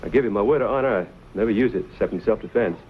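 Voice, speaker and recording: An actor playing an easygoing, confident frontier knife fighter in an old-time western drama, folksy and wry. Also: male voice, fairly low pitch, racing, lightly acted. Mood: teasing. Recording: muffled, hissy old radio-era recording.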